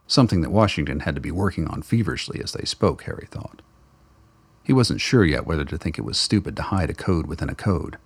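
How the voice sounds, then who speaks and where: A man narrating a radio drama in steady, close third-person storytelling. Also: rumbling low, quick pace, husky. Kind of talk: scripted narration.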